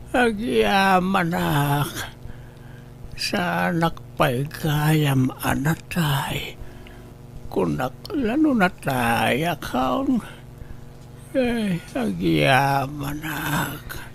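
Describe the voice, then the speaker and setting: Guy doing old ilocano dad driver, slow n drawn out, creaky, bit of background noise. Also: on the high side, lively intonation, full character voice. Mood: weak, plaintive. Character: old village father, a shaken pedicab driver. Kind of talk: amateur comedy drama acting.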